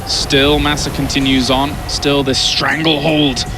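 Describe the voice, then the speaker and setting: A man casting an esports match with quick, excited play-by-play. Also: mid-range voice, noisy background. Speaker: esports caster. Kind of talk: play-by-play commentary.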